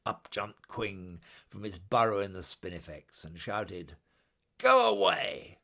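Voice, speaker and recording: A man reading a children's story aloud in a dramatic, rhythmic, expressive way, with incantatory repetition. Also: low voice, measured pace. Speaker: storybook reader.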